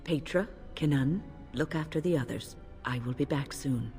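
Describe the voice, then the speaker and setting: A woman playing a calm, kindly older mage in a video game, composed and reassuring. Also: very deep voice, moderate pace. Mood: calm reassurance. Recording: long hall reverb, faint game music.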